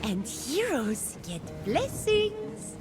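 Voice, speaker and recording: An actress playing a scatterbrained, blunt and excitable goddess mentor in a video game, halting as she goes. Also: very high-pitched, heavily acted. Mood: flustered. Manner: halting, flighty, childlike enthusiasm. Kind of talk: video game cutscene voice acting. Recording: loud music behind the voice. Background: music.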